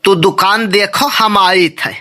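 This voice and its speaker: Brief: male actor as exasperated cartoon shopkeeper dad, Awadhi accent, high bright voice, slow complaining delivery. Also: steady tone, heavily acted. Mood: resigned. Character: scolding village shopkeeper father, exasperated with his son. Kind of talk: amateur comic cartoon dub in dialect.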